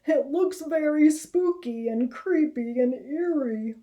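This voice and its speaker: A huge but timid young storybook rabbit, a polite boy, as a woman reader puts him on. He speaks high and slowly, stretching his words with emphasis. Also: mellow, highs cut off. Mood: uneasy. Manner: slow, emphatic, drawn-out.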